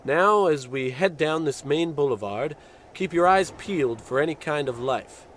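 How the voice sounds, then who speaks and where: A pompous dimwit space captain, kinda high voice, bossy and blustery, old radio comedy style. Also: male voice, expressive, moderate pace, a bit bright, slight background noise. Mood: self-important. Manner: pompous, commanding, self-important.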